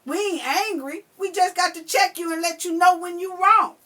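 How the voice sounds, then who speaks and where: A woman's voice: extremely high pitch, moderate pace, bright, forceful tone. A woman giving a blunt, emphatic commentary in reply to another video, repeating her key phrases for force and now and then raising her voice. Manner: emphatic and repetitive, hammering phrases home; blunt and profane.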